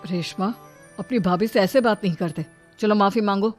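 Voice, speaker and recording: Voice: mid-range voice, moderate pace, faint music behind, lightly acted. Someone acting a firm, protective elder brother. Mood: stern. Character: protective, authoritative elder brother of three sisters.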